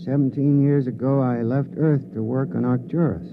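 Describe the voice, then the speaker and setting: A man acts an earnest, homesick spaceman confessing haltingly in a 1950s radio play. His voice has a gravelly creak. The recording is tinny, narrow and clearly noisy. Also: mid-range voice, steady tone, natural voice. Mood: wistful, confessional. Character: earnest, homesick man returning after years working offworld. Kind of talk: 1950s radio drama acting.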